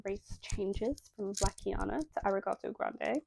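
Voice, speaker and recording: A woman's voice: medium pitch, a little slow, creaky, darkish tone, a little hiss or hum. A young woman with a slightly nasal voice, reading a scripted video essay and drifting into apologetic, chatty asides. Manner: self-deprecating, breaks into apologetic tangents.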